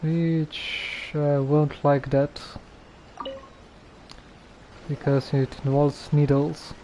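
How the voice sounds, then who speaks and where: A man casually live streaming a game, reading dialogue aloud and commenting in a halting, musing way. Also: fairly high pitch, level tone, mellow, slight background noise, low-quality recording. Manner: halting, musing, repeats phrases.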